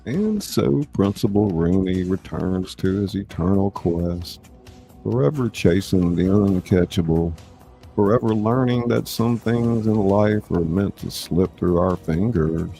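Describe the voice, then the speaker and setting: A man's voice: sits low, unhurried, vocal fry, warm tone, soft background music. A man hosting a live reading, talking in a folksy way with plenty of y'all, moving between narration and chat. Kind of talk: warm-up and sign-off chat, scripted narration.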